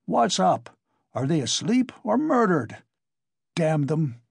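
A man's voice: on the high side, sing-song, slow pace, vocal fry, breathy edge, dry recording, studio quality, pitched above the performer's own voice, full character voice. A man voicing a big, impatient, irascible visitor in an audiobook, exasperated and given to cursing. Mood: enraged, bawling.

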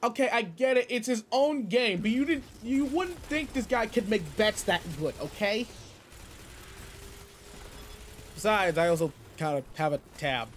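A man's voice: high voice, lively intonation, moderate pace, hardly any pauses, a little hiss or hum. A man playing a seasoned but laid-back space guardian mentor in a video game roleplay, casual, slangy and wry as he talks to a partner. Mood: defensive, animated. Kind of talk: video game roleplay audio, in-character monologue.

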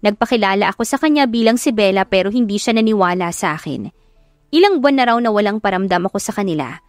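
A woman's voice: medium pitch, expressive, fast, no echo, clean studio sound. Filipino woman narrating over music. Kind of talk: dramatic first-person story narration. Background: background music.

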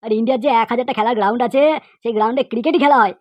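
Woman voicing a rapid-fire, outspoken cartoon pupil. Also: on the high side, no echo, lo-fi sound, close to an everyday voice. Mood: indignant, preachy. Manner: emphatic, repetitive, rhetorical questioning. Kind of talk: cartoon comedy monologue.

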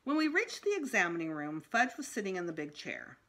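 A woman's voice: lowish voice, sing-song, fairly quick, bright, forceful tone. A middle-aged woman reading a children's book aloud expressively as a school storytime reader. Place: small room indoors at home.

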